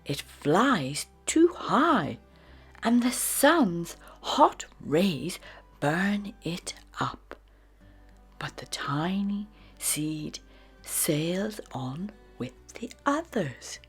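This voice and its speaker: Woman narrator for children's picture books: low, lilting and expressive, breathy, unhurried, over music. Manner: slow, deliberate, expressive storytelling. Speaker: storybook narrator. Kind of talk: children's read-aloud narration.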